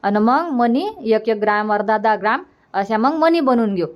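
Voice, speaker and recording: A somewhat high old village mother from a cartoon, acted by a woman, recorded in dull lo-fi. She talks plainly and placatingly, resigned. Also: lively intonation, moderate pace, lightly acted. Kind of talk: Marathi cartoon comedy dubbing.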